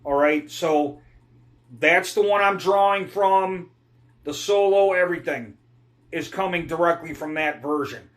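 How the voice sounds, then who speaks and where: A middle-aged man giving a guitar lesson in a rambling, digressive way, often clearing his throat. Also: a little high, a little slow, fairly bright tone. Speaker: guitar teacher. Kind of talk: casual guitar lesson talk.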